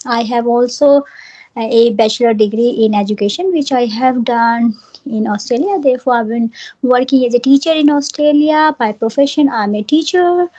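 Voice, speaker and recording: A woman's voice: a little high, moderate pace, warm tone, dull audio. A middle-aged woman from Delhi with an Indian accent, explaining things instructively as an English teacher in a conversational lesson. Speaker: English teacher, channel host.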